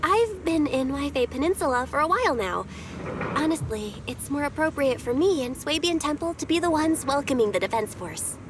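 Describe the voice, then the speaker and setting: A woman's voice: sits high, expressive, moderate pace, noisy background. A woman voicing an earnest, outspoken young female envoy in a video game, with a frank manner. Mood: polite, pointed. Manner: frank, challenging. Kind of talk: scripted video game voice acting.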